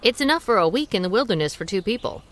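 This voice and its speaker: Woman actor as a quick-witted radio-play sleuth who runs a restaurant: wry, curious, with plenty of melody in her delivery. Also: medium pitch, expressive, natural voice. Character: shrewd woman restaurant owner, amateur sleuth. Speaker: restaurant owner. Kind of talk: radio drama acting.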